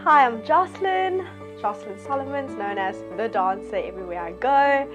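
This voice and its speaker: A woman's voice: fairly high pitch, expressive, moderate pace. A woman from South Africa, a dancer, introduces herself cheerfully. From Macassar, near Somerset West, South Africa. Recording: clear music behind.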